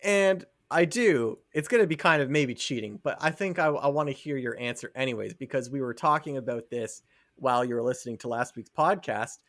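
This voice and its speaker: A man's voice: medium pitch, fairly quick, fairly bright tone. A young man co-hosting a podcast, asking questions and teasing his friend in casual chat. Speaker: podcast co-host.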